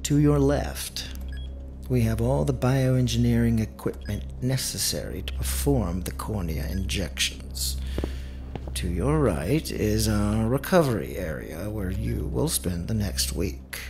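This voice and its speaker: Guy doing a shady organ dealer in an audio drama, formal n persuasive, lowish voice that moves around a lot. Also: lively intonation, unhurried, gravelly, darkish tone, a little hiss or hum. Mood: businesslike. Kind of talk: radio drama acting. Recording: music under the voice.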